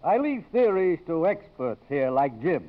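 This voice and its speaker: An actor playing a blustering, smug college trustee in a 1950s radio drama, blunt, pompous and grumbling. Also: male voice, fairly high pitch, very animated, measured pace. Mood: smug, firm. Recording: muffled old radio broadcast.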